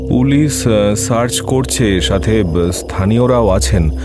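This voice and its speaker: An actor playing a hesitant man haltingly reporting news in an audio drama. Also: on the low side, music in the background.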